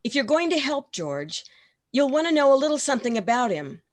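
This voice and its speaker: Woman playing a calm, commanding senior angel. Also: high-pitched. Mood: stern, instructive. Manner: measured, matter-of-fact, commanding. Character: calm, authoritative senior angel instructing an apprentice. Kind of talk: radio play acting. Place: video call.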